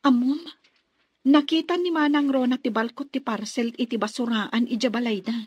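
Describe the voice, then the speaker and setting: A woman's voice: fairly high pitch, steady tone, normal speed, a little mellow, husky, no echo, lo-fi sound. An actress playing a devoted young woman in an Ilocano radio drama, a hurt but trusting daughter and sweetheart. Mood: affectionate.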